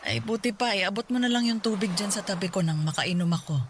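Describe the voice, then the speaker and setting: A man's voice: high-pitched, expressive, fast, slight background noise. A man playing a sick elderly mother in a radio drama, weary, stubborn and affectionate. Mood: weary, reassuring. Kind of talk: Filipino radio drama acting. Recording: music playing behind the voice. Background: music.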